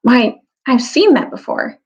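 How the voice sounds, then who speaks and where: A woman's voice: a little high, very animated, measured pace, voice pushed up. A woman voicing a wary, plain-spoken male adventurer in a storybook, blunt and cautious. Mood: recognising. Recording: dull, lo-fi audio.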